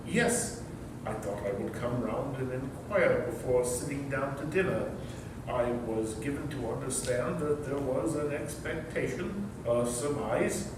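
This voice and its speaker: Guy playing stiff courtly uncle in a play, talks slow, echoey hall, far from mic. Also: mid-range voice, background noise, natural voice. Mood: wry, halting. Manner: formal, hesitant, deliberate wording. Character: courtly, wry bachelor uncle. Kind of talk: staged play reading.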